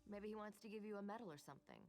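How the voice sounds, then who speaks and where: A woman's voice: medium pitch, rapid-fire, a little mellow, lightly acted. An actress playing a friendly, playful young female mage ally in a fantasy video game, reassuring in manner. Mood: warm, playful. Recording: game music behind the voice.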